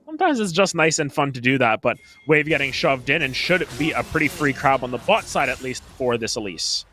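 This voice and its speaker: Bright-voiced man calling an esports match. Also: medium pitch, sing-song, brisk, faint music behind. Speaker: esports play-by-play commentator. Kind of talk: live League of Legends match commentary.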